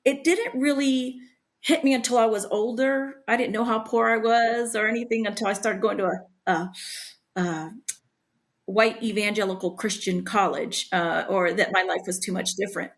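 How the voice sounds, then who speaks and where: A woman's voice: medium pitch, normal speed. Woman whose first language is spanish, mid voice, talks blunt and honest. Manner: candid, plainspoken. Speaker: book contributor. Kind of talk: conversational podcast interview.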